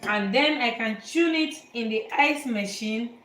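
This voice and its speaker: A woman from Nigeria hosts a live cooking lesson, explaining each step in an unhurried, repetitive way with plenty of fillers. Her voice sits fairly high. The sound is roomy and echoey, with faint noise underneath from the kitchen. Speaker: cooking show host, chef. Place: home kitchen.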